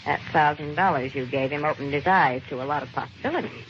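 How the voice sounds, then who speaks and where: A woman's voice: sits low, fast talker, lightly acted. An American actress playing a greedy, cold-blooded gangster's girlfriend in an old radio crime drama, brash, slangy and sardonic. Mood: calculating. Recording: dull old radio recording, faint noise.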